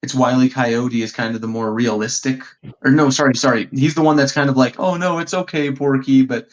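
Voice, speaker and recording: A man's voice: mid-range voice, fairly even intonation, a little fast. A man chatting casually as a podcast panelist, exclaiming and swearing, on a lo-fi microphone. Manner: profane, exclamatory. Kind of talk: casual chat. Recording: dull lo-fi microphone sound.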